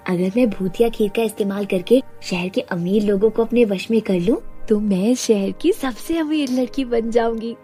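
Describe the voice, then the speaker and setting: Woman playing a greedy, high-voiced scheming girl. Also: moderate pace, few pauses, mellow, soft background music, natural voice. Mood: gloating, greedy. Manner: bossy, gloating.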